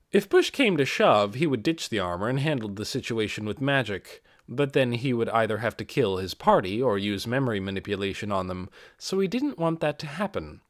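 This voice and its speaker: Animated male audiobook narrator, dry sound. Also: mid-range voice, no echo. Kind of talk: scripted fantasy narration.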